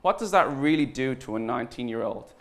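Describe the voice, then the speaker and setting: Male, Irish accent, mid-pitched voice. Conference speaker: informal, earnest, deliberate pauses. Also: expressive. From Ireland. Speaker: conference speaker, startup founder and designer. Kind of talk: conference talk.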